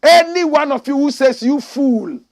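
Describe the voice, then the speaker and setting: A high-voiced man from Ghana delivers fiery political commentary. He preaches and scolds, jumping from shouted outbursts to low, muttered remarks. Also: measured pace, vocal fry. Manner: preachy, emphatic, swings from shouting to low asides.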